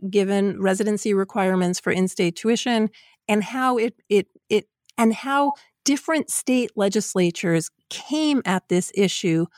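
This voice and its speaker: A woman's voice: mid-range voice, no echo, clean studio sound. A woman interviewing an author, framing a long question and restarting as she searches for words.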